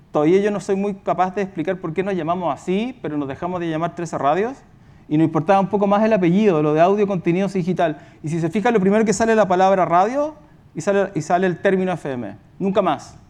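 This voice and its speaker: A man with a Chilean accent giving an informal conference presentation as a company executive, halting and correcting himself as he goes. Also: high-pitched, darkish tone.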